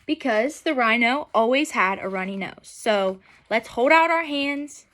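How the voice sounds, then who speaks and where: A woman's voice: a little high, expressive, measured pace, a bit bright. A young adult woman reading a picture book aloud expressively and putting questions to children in an instructional way. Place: small furnished room at home, indoors.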